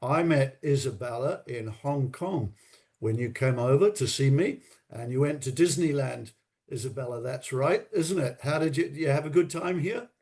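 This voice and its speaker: A man's voice: medium pitch, normal speed, a little mellow. A man co-hosting an industry podcast, reading a formal guest introduction and speaking in an effusive way. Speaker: co-host.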